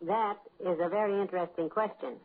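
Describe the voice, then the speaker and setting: An actress playing a patient, skeptical wife with a dry, affectionate manner, in 1950s radio comedy style. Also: female voice, mid-range voice, a little fast, natural voice. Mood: wry skepticism. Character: patient, wryly skeptical wife of a boastful husband. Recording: muffled, narrow old radio recording.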